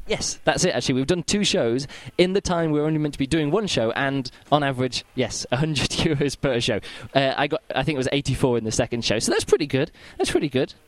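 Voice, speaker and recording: A man with a croaky, worn voice, a street performer chatting casually and rambling through stories for a podcast. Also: mid-range voice, fast, hardly any pauses, no room sound. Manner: chatty, rambling, lively storytelling. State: hoarse, croaky voice. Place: outdoors at a festival, later a hotel room.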